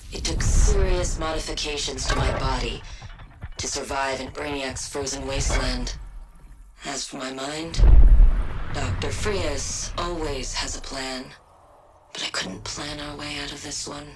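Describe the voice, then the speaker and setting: An actress playing a cold, analytical scientist in a video game, speaking in formal, measured phrases. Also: female voice, deep voice, fairly even intonation, heavy background noise. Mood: somber, resolute. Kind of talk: video game voice acting, dramatic monologue.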